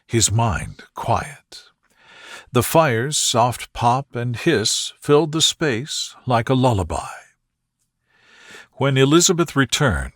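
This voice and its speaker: Male narrator for bedtime stories: calm, soothing, unhurried delivery, close dry recording. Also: medium pitch, dry recording. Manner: calm, gentle, unhurried, soft-spoken.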